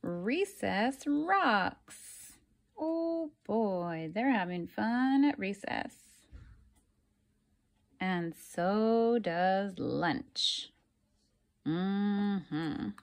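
Slow, expressive woman reading aloud to children. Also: mid-range voice. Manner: deliberate, pauses between phrases, asks listeners questions. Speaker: teacher reading a picture book aloud to children. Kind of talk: expressive children's picture-book read-aloud.

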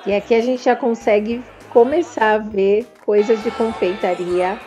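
A woman's voice: medium pitch, steady tone, moderate pace, mellow, faint music behind. A woman with a Brazilian Portuguese accent, narrating a vlog about a trade fair and talking straight to her viewers in a chatty way. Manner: chatty, addresses viewers directly. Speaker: vlogger and confectioner.

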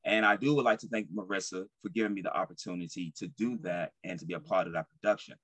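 A man's voice: low voice, steady tone, quick pace, fairly bright tone, no echo. A young man talking informally and earnestly as an interview guest on a video call, often repeating his phrases. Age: young adult. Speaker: stage actor and guest. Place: indoor room at home, on a video call.

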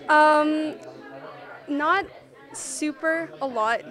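A teenage girl with a slightly high voice, answering interview questions in a chatty, rambling way full of "like". She speaks into a handheld mic in a theatre rehearsal room. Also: extremely slow, crowd noise. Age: teens. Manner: chatty, lots of "like", bright. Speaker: student actor.

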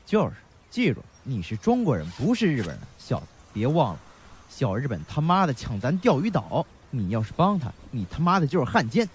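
Menacing, animated young male bully , acted. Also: medium pitch, fairly quick, a little hiss or hum. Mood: threatening. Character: menacing, foul-mouthed male student bully. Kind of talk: radio drama acting.

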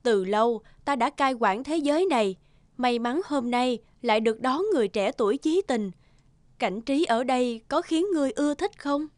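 A woman's voice: sits high, normal speed, a little mellow, dry recording, lightly acted. A woman voicing a stately, benevolent fairy queen who rules a magical realm in a cartoon. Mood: gracious.

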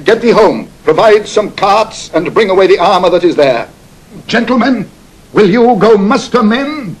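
A husky, careworn old duke. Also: male voice, high voice, lively intonation, measured pace, full character voice, low-quality recording. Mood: distraught, bewildered. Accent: British. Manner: muttering, hesitant, breaking off in fluster. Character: careworn, aged royal duke, flustered and bewildered. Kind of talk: classical Shakespearean radio acting.

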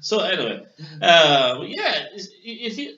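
Bright-voiced young man reviewing beer. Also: high voice, big pitch swings, normal speed. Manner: hesitant, many fillers and restarts. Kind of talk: casual beer tasting review. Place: small bedroom with posters, indoors. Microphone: webcam on desk. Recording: roomy, dull lo-fi webcam audio.

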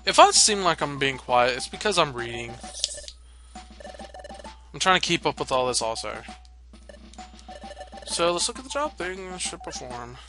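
A man with a fairly high, very bright voice does casual let's play commentary. His delivery swings widely in pitch as he reads game dialogue and adds irreverent, playful asides. Game music plays softly behind him. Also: sing-song, moderate pace, pauses often. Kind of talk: casual gameplay commentary, reading dialogue aloud. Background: video game music.

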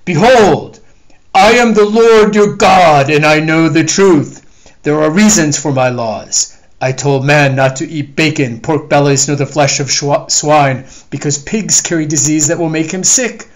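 A man putting on a stern, booming God. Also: lightly acted. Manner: slow, commanding proclamations. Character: stern booming male God, wrathful judge.

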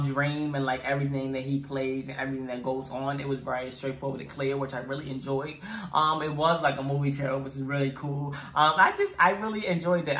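A young man hosts a reaction video in a bright, forceful voice of middle pitch. He is effusive and repeats himself for emphasis. The small room adds clear echo. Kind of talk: casual enthusiastic review chat. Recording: echoey room.

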